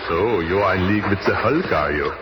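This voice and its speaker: A sinister saboteur gloats and taunts in a very low voice. He sounds like a radio serial villain in a long, echoing chamber on a noisy old recording. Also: expressive, a little fast. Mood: gloating. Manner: smug, taunting, formal. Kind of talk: 1970s radio drama acting.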